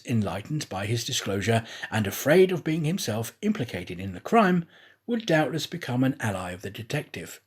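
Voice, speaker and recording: A man narrating a classic adventure story in a theatrical, expressive style. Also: medium pitch, husky. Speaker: audiobook narrator, actor. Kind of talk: dramatic scripted storybook narration.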